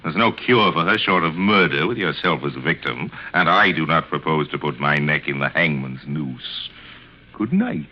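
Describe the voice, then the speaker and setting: A man's voice: a bass voice, expressive, moderate pace. An actor in 1940s radio style playing a flippant, pompous theater man, theatrical and florid in his drawling sarcasm. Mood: haughty. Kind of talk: 1940s radio acting and narration. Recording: muffled old radio broadcast recording.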